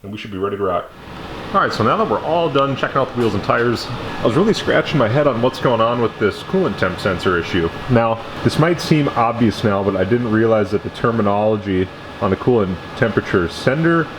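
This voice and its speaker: A man's voice: fairly low pitch, expressive, fairly quick. A man explaining hands-on car repair in a casual, conversational way, as a vlogger in his garage. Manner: informal, conversational. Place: indoor garage workshop. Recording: noisy garage with background hum.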